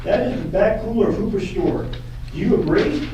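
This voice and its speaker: A man's voice: high voice, big pitch swings, normal speed, warm tone. A man playing a persistent lawyer in a puppet courtroom re-enactment, asking methodical leading questions in an echoey room. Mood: methodical, pressing. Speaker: attorney. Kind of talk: courtroom questioning read from a transcript. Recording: echoey room from far off, faint noise.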